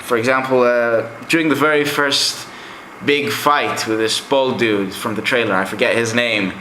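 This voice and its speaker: Young adult man, native Greek speaker, reviews games in a chatty ramble; bright voice, roomy echo. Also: medium pitch, steady tone, normal speed, a little hiss or hum. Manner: rambling and chatty, with many fillers. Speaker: game collector vlogger. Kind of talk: casual game collection review. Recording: echoey room.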